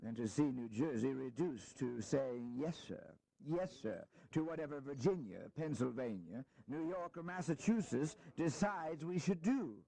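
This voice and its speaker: A man's voice: mid-range voice, lively intonation, gravelly, warm tone, rough recording. Stubborn statesman, widely swinging delivery. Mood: firm. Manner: formal, deliberate, firm. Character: stubborn, wary New Jersey delegate at founding convention. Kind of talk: historical audio drama acting.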